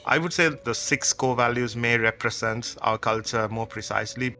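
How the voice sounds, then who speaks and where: Gravelly bright-voiced Sri man. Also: mid-range voice, moderate pace, faint music behind. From Sri Lanka. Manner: thoughtful, conversational, explains with examples. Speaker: company co-founder. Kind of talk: interview answers.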